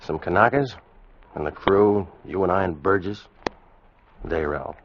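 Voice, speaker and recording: An actor playing a weary American first mate of a sailing schooner in an old radio drama. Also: male voice, low-pitched, measured pace, a little hiss or hum. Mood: easygoing, wistful. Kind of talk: 1940s-50s radio drama acting, first-person narration and dialogue. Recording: muffled vintage radio recording, music behind.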